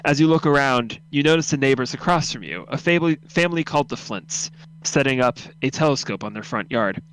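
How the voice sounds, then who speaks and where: A man narrates an audio drama in the second person, reading from a script. His pitch sits in an ordinary middle range. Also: a bit bright, low-quality recording. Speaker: narrator of a radio drama read-through.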